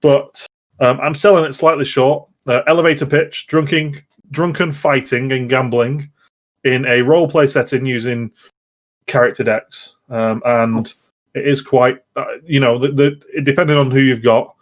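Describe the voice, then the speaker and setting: A man in a casual chat, explaining things in a halting, rambling way. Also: medium pitch, fairly even intonation. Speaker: podcast host.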